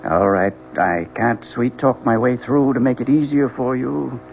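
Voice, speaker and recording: An actor playing a frank doctor with a formal, measured, blunt manner in a radio drama. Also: male voice, medium pitch, steady tone, gravelly. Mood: grave, blunt. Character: frank, formal male doctor breaking bad news. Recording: muffled, noisy old radio broadcast.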